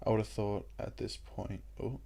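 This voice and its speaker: Young Australian guy, low and gravelly, a bit husky, loose rambling let's-play chatter. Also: moderate pace. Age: young adult. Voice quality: croaky, gravelly voice. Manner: rambling and relaxed, with many ums. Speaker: gaming YouTuber. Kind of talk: casual let's-play commentary.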